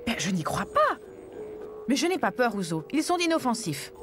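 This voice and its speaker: A man as a high-voiced eager cartoon boy. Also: big pitch swings, normal speed, background noise. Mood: earnest. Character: eager young boy adventurer, earnest and plucky. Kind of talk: dubbed low-budget cartoon dialogue. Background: music.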